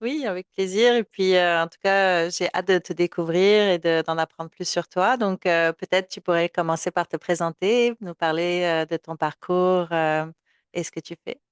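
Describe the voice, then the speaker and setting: A woman's voice: on the low side, moderate pace, smooth, no room sound, studio quality. A woman hosting an interview, asking questions hesitantly with many fillers. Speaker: interview host. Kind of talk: casual interview talk.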